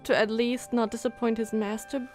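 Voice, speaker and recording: A woman game streamer giving casual live reactions, thinking aloud in an expressive, halting way. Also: a little high, music in the background.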